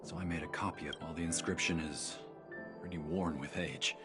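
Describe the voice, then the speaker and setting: Deep-voiced, courteous monument keeper. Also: male voice, steady tone, fairly quick, music in the background. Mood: reverent. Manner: formal, polite. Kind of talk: video game voice acting.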